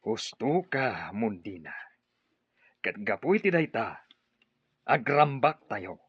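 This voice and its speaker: Casting: a righteous war chief, husky with animated swings in pitch, emphatic, for radio drama. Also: male voice, slow pace, dry recording, lo-fi sound, full character voice. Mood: solemn relief. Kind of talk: Ilocano radio drama acting.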